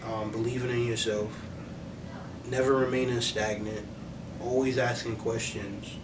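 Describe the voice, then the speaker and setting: A man's voice: flat delivery, measured pace, distant mic. A young man answering as a music industry guest in a casual interview, earnest and reflective, circling back over his phrases. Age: young adult. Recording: roomy, off-mic, noisy with low rumble.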